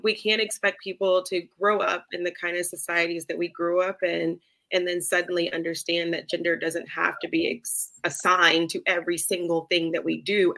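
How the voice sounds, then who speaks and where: Thoughtful woman guest, bright low voice. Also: creaky, low-quality recording. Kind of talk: casual discussion.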